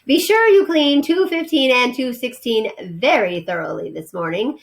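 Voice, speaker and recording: A woman playing a storybook head housekeeper. She is exacting and gives orders crisply, with strict authority. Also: sits high, animated, moderate pace, voice pushed up. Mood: stern. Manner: crisp, commanding.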